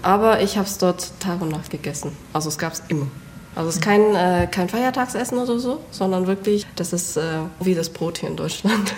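A woman's voice: lowish voice, brisk, a little mellow, slight background noise. A young woman born and raised in Germany, a restaurant owner and cook, giving short, plain answers in an interview and laughing often.

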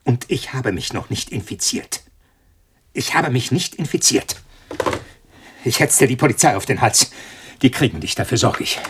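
An actor in a radio drama playing a cornered male scientist, agitated and halting, repeating himself as he panics and pleads. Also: mid-range voice, expressive, background noise, natural voice. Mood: shaken, threatening. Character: cornered, panicking male molecular biologist pleading with his tormentor.

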